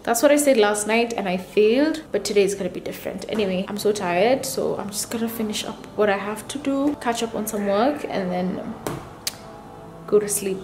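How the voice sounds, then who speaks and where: A young woman with a slightly deep voice, chatting casually as a student vlogger into a handheld camera or phone. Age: young adult. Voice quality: deeper voice for a woman. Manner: chatty, relaxed, drawn-out fillers. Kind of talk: casual vlog chat. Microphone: camera or phone held by herself.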